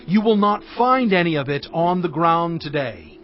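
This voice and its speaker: Casting note: male actor as Moses, stern leader, high lively voice, earnest emphasis, dull track, faint music. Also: normal speed, close to an everyday voice. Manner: earnest, emphatic preaching delivery.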